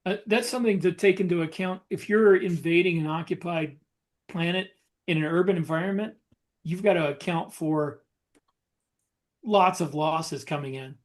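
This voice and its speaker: A man co-hosting a podcast, explaining his points conversationally in short, deliberate phrases. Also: sits high. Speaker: podcast co-host and novelist. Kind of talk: casual explanatory discussion.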